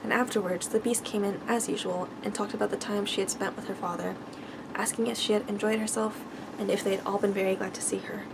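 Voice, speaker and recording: A soft-spoken woman reading a bedtime story in ASMR style, stumbling now and then over the words. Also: medium pitch, little pitch movement, fast, gravelly, background noise.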